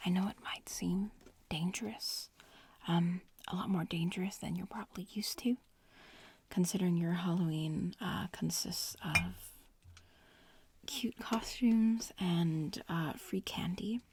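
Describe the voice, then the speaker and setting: Soft-spoken bratty young witch played by a woman, crinkly noise. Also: on the low side, measured pace. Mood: whispered, reassuring. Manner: casual, teasing, matter-of-fact instructions. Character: bratty young female witch in training, bossy but reassuring. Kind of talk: ASMR roleplay, soft-spoken with whispering. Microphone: close-up ASMR microphone.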